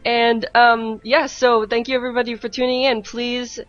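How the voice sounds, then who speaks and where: A woman co-hosting a casual live esports stream, speaking in a somewhat hesitant, halting way. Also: a little high, level tone, highs cut off. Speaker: esports co-caster. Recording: music playing under the voice. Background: music.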